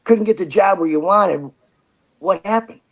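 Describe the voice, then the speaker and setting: A man's voice: sits high, moderate pace, vocal fry. A man hosting a podcast interview, talking bluntly and casually with slang and easy laughs. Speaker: podcast host.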